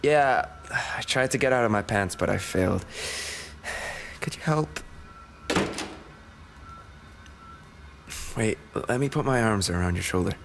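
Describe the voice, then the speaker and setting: A man's voice: on the low side, lively intonation, moderate pace, gravelly, slight background noise. A man playing an injured young race-car driver boyfriend, tender and playfully needy, in an intimate roleplay audio. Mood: weary, needy.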